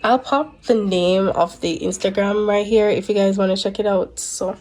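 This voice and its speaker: A woman's voice: medium pitch, steady tone, moderate pace, darkish tone, rough recording. Congested young woman chatting to camera. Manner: chatty, stops and restarts, rambling. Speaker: vlogger. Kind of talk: casual vlog chat to camera.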